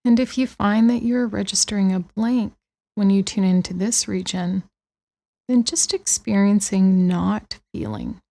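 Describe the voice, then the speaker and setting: A woman guides a meditation with a soft, mellow tone and a creaky edge. She speaks gently and very slowly, letting long pauses settle between her soothing phrases. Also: medium pitch, fairly even intonation. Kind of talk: guided body-scan meditation.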